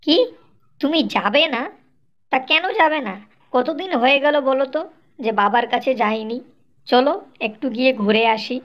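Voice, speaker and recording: নারী শিল্পীর গলায় চড়া গলার মুখরা গ্রাম্য বউ. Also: female voice, sits high, normal speed, pauses often, dull audio, lightly acted. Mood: insistent. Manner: scolding, sarcastic. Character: sharp-tongued, exasperated young village wife. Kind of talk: Bengali cartoon voice acting.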